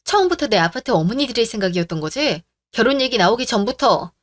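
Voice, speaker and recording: A woman plays a composed young heroine in a radio drama. She speaks calmly and reasons firmly. Her voice is a touch high. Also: quick pace, no room sound, clean studio sound. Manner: calm, reasoned and firm. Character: calm, firm young woman who stands her ground.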